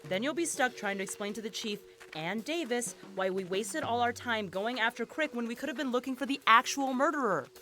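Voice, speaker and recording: A woman's voice: a little high, expressive, brisk, few pauses, pushed and bright, soft background music. A woman playing a cautious, doubting investigator in an audio drama, earnest and questioning in manner. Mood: doubtful, urgent. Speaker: voice actor.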